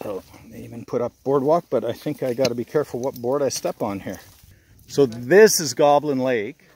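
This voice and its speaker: A man's voice: mid-range voice, moderate pace, darkish tone. A middle-aged man filming his own hike outdoors, narrating casually and gushing about the scenery, with frequent laughs. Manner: enthusiastic, exclaims about the scenery, laughs often. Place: outdoors on a wooded island. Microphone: handheld camera.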